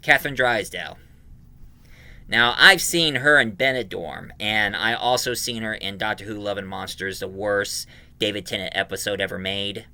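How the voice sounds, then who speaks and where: A man giving an informal, emphatic review of an audio drama, recapping it in a halting way. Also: fairly low pitch, bright, forceful tone, clear, resonant tone, no room sound.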